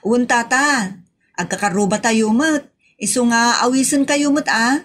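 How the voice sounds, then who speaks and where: High-voiced polite young Ilocano woman played by an actress. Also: a little slow, fairly bright tone. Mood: friendly, inviting. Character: kind, helpful young woman, polite neighbour. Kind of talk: Ilocano comedy audio drama.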